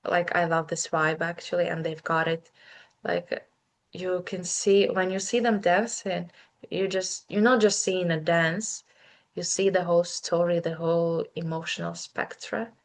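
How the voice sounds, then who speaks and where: A woman's voice: fairly low pitch, fairly even intonation, measured pace. A woman in her twenties with a Ukrainian accent, a native Ukrainian speaker talking as an interview guest in a thoughtful, hesitant way. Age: 20s. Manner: thoughtful, hesitant, many fillers and restarts. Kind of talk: casual interview chat.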